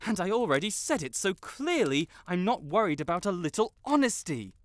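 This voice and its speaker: A man's voice: high-pitched, sing-song, moderate pace, no echo. A man playing a proud, lovestruck wizard in a romantic audio roleplay, haughty but stammering, blurting things out and backtracking. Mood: defensive, indignant. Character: proud, flustered tsundere wizard secretly in love.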